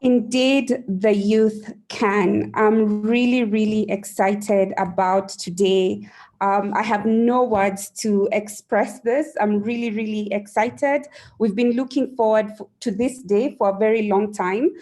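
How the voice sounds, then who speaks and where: Woman host, eager and gushing, mid-pitched, welcoming an online launch. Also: fairly even intonation, slow, darkish tone. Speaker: event host. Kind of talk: excited welcome speech.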